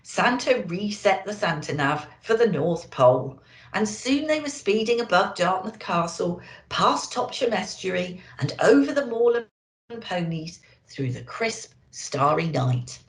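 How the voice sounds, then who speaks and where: A woman reading a picture book to children as a storyteller, in an expressive and deliberate way. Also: medium pitch, reverberant. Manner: deliberate, animated, addressing children.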